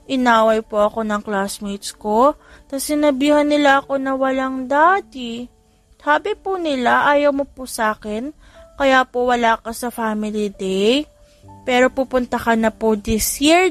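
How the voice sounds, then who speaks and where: A high, small voice of a little boy, acted out by a woman narrator, sweet and eager to please. He sounds childlike and polite, with a wistful pleading edge, as in an audio romance drama with music underneath. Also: a bit bright.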